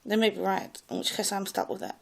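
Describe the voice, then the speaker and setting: Gravelly posh English woman, loud. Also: mid-range voice, level tone, quick pace. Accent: posh English public school with a slight American Ivy League clip. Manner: hesitant, many fillers and restarts. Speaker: vlogger telling her life story. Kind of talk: rambling personal monologue.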